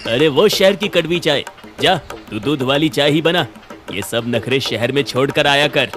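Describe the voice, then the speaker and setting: A man playing a stern, proud middle-aged father who runs a village eatery in a cartoon story, gruff and scolding. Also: fairly high pitch, lively intonation, moderate pace, natural voice. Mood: gruff fatherly. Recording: music under the voice.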